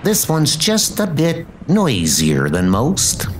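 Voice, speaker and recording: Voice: medium pitch, huge pitch swings, slow pace, heavy background noise. A performer voicing a fussy, plain-spoken elderly woman, a castle housekeeper, in a comic book dub. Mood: grumbling.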